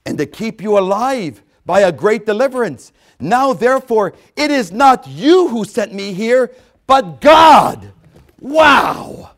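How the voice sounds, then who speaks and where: A man preaching a sermon, reading scripture aloud and expounding on it. He repeats key phrases for emphasis and swings between loud exclamations and hushed asides. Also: very high-pitched, very animated, takes it slowly.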